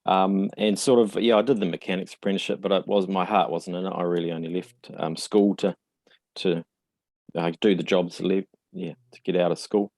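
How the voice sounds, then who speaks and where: Low, mellow New Zealand man in his forties. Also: fairly even intonation, vocal fry, dry recording. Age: 40s. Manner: reflective, halting, frequent "um" and "yeah" fillers. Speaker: podcast guest. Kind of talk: casual interview conversation.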